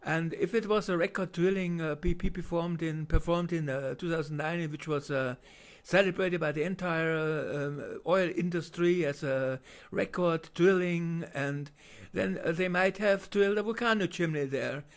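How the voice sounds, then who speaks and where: Man, German accent, non-native English, high-pitched, hesitant, many fillers, off-the-cuff voice-over commentary. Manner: halting, many "uh" fillers and restarts. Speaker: video commentator. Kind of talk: unscripted voice-over commentary on footage.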